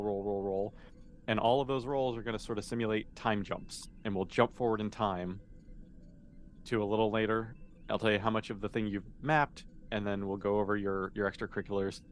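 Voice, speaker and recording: Male game master, slightly low pitch, informal explainer style, casual delivery, light background noise. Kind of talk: casual narrating of a tabletop roleplaying game.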